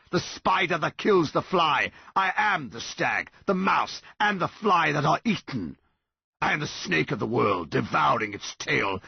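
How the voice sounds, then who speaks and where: Ancient tree monster voiced by a man, commanding, slow and grand, husky, dull dry recording. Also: on the high side, dry recording, pitched above the performer's own voice, exaggerated voice. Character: ancient towering male tree monster, imperious.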